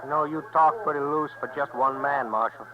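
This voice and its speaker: A man's voice: fairly high pitch, fairly even intonation, a little fast, natural voice. An actor playing a menacing, taunting outlaw gunman in a 1950s radio western. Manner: taunting, tough. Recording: muffled 1950s radio recording.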